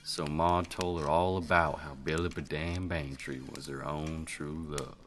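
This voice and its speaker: A man plays a homespun Old West yarn-spinner with an American country accent. His voice is extremely deep as he narrates a radio folktale, with faint noise behind. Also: steady tone, measured pace. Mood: hushed storytelling. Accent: American Southern or Western. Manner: homespun, colloquial. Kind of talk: dramatic scripted narration of a western folktale.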